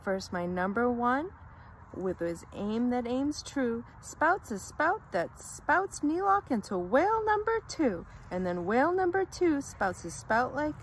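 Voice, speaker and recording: Animated high-voiced woman reading a storybook. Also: a little hiss or hum, rough recording. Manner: theatrical, dramatic emphasis on rhymes. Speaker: storyteller reading a children's book aloud. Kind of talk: expressive read-aloud of rhyming children's story.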